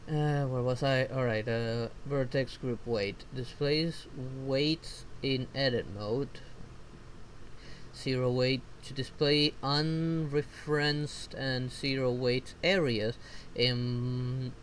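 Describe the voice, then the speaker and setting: A man whose first language is not English, reading software documentation aloud slowly and haltingly and breaking off for casual asides. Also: mid-range voice, steady tone, a little hiss or hum. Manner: slow halting reading with self-interrupting asides. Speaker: vtuber streamer. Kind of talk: reading software docs aloud while learning.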